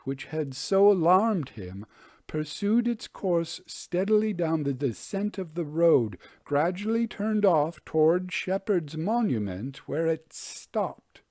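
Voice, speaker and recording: A man voicing an earnest, unsettled Victorian gentleman giving a formal, solemn account in a storybook narration. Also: a little high, no echo, clean studio sound, pitched above the performer's own voice.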